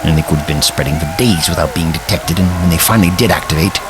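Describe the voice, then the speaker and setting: A grave, authoritative time-traveling man of learning, played by a male actor in a science fiction audio drama. His voice is low and his phrasing measured and formal, as if lecturing. Prominent music plays behind him. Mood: grave, explaining.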